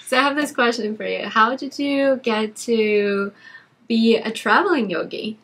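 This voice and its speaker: A woman's voice: on the high side, measured pace, a bit bright. A woman hosting a casual podcast about yoga, talking emphatically and laughing easily. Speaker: podcast host, yoga teacher.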